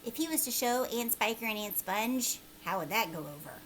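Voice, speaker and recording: A woman teacher doing a children's read-aloud, expressive and conversational, often putting questions to her listeners. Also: fairly high pitch, normal speed, slight background noise.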